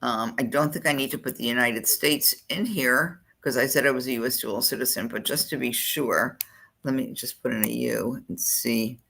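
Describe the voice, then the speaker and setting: A college admissions counselor leads a screen-share tutorial with a gravelly, creaky edge and a bright, forward tone. The delivery is chatty, with thinking aloud while clicking through form fields. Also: mid-range voice, moderate pace.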